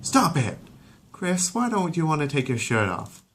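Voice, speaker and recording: A motherly cartoon wife acted out by a man, raised in pitch. She coaxes and scolds, with a temper that is never far away. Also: sing-song, measured pace, a bit bright. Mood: exasperated. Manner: coaxing, scolding.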